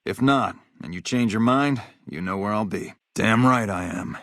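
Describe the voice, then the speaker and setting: An actor playing a hard-bitten, vengeful military officer in a video game, blunt, profane and matter-of-fact. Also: male voice, fairly low pitch, moderate pace, fairly bright tone, no echo, close to an everyday voice. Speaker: game character, army officer.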